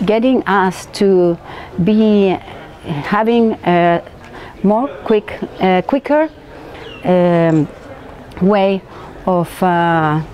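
Halting, mellow Spanish-accented woman. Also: on the low side, slight background noise. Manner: halting, searching for words in non-native English. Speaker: vlogger presenting a theory. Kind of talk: unscripted explanatory talk to camera. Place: outdoors at open stone temple ruins.

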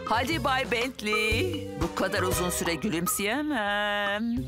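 A middle-aged cartoon mayor with a very animated, medium-pitched voice. She is self-satisfied, commanding and theatrical over music. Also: takes it slowly. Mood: self-important. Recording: music behind the voice.

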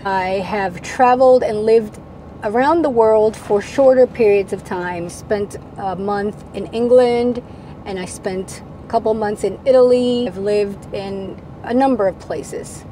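A middle-aged woman from Venezuela, a native Spanish speaker, answering viewer questions in a casual, measured vlog style. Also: medium pitch. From Venezuela, moved to the United States at ten. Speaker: vlogger, channel host. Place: parked camper van cab. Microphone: lapel mic. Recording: steady background noise inside a vehicle.

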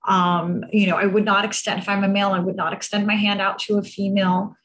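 A woman's voice: mid-range voice, level tone, fast, fairly bright tone. A woman giving an explanatory talk over a video call in a conversational manner, checking in with listeners as she goes. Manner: conversational, seeks agreement with tag questions. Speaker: presenter, cultural trainer. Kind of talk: explanatory slide presentation to an audience. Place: indoor room on a video call. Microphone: video call microphone.

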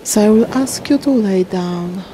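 A woman guiding a meditation in a soothing, unhurried way, speaking in short phrases with long pauses. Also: medium pitch. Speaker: meditation guide. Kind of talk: slow guided meditation narration. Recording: steady wash of ocean waves and wind noise. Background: ocean waves.

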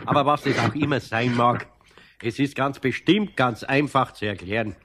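An actor playing a skeptical, kindly older master carpenter with a Bavarian accent in a radio play, husky and grumbling. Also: male voice, mid-range voice, sharp tone. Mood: amused dismissal. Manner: grumbling, unhurried, dismissive. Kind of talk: 1970s radio play dialogue.

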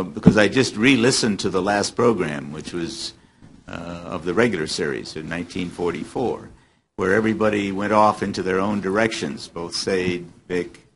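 Low-voiced older man, faint noise. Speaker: panelist. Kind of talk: measured panel commentary. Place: indoor hotel conference room. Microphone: table microphone on stand. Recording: faint noise in meeting room.

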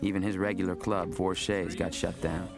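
An actor playing a young law student and former card player, narrating a film in a knowing, understated, street-wise way. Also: male voice, deep voice, steady tone, normal speed. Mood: wry, confiding. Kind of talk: scripted voice-over narration. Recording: music under the voice.

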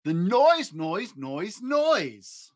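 A man's voice: sits high, very animated, extremely slow. A man reads a children's story expressively, with dramatic, playful emphasis. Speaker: dad storyteller, host. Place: small indoor home studio room.

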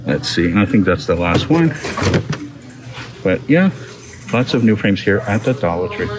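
A man's voice: on the low side, big pitch swings, moderate pace. A man hosting a shopping vlog, chatting casually and reading product labels aloud as he walks through a store. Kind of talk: casual store walkthrough narration. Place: inside a large discount store. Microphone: handheld phone camera. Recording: phone recording with store background noise. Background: store ambience.

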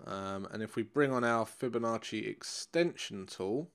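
A man's voice: lowish voice, moderate pace, smooth. A middle-aged man with a nasal, congested voice, walking viewers through trading charts in a casual, instructive way and repeating key points. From England. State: congested, blocked nose. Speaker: currency trading coach. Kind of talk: live trading room chart walkthrough.

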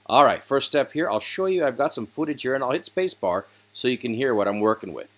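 A man talks through a software tutorial in an easygoing, chatty voice, pausing for small fillers as he goes. His pitch sits in a middle range, and he speaks from a desk mic at his computer. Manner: casual, relaxed explaining with fillers. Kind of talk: screen-recording tutorial voice-over.

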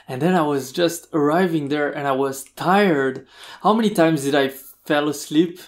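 A man hosting a podcast, talking in a casual conversation, animated and saying "like" and "man" a lot. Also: a little high, moderate pace. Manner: animated, says "like" and "man" often. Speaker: podcast host.

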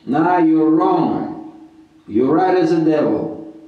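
A man's voice: fairly high pitch, animated, phone-quality sound. A middle-aged man giving a contemptuous, insulting commentary while reacting to a sermon, filmed at a desk in a room crowded with ornaments. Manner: contemptuous, insulting, slow and deliberate. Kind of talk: scornful commentary. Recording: very echoey room.

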